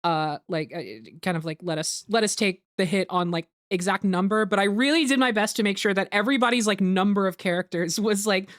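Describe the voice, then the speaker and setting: A woman hosts a casual group commentary in a dry, close-sounding space. Her pitch is average for a woman, and she rambles loosely, peppering her explanations with "like" and the odd swear. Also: a little fast, hardly any pauses, no room sound. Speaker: host of a group commentary on a fan dub. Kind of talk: casual chat, explaining production process.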